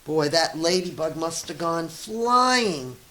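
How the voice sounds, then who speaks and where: A woman reading a children's story aloud as a teacher, expressive and encouraging, with teaching asides and questions for young listeners. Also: low-pitched, slow.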